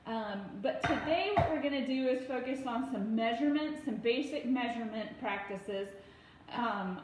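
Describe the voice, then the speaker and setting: A woman in her thirties talking casually through instructions in a patient but firm, step-by-step way. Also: on the high side. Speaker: parent giving a cooking demonstration. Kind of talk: casual instructional talk to camera and child. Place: home kitchen, indoors. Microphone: phone propped on counter, about arm's length. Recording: very echoey room, faint noise.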